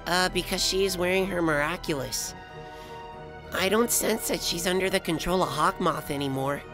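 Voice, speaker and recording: A woman's voice: on the low side, moderate pace, music in the background, close to an everyday voice. A woman voicing a small, blunt, wry magical cat creature in a fan cartoon comic dub.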